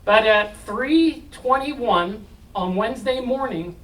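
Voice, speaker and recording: A man's voice: very high voice, animated, slow, fairly bright tone, distant mic, poor audio. A man presenting live to an audience in a joking, self-mocking, chatty way.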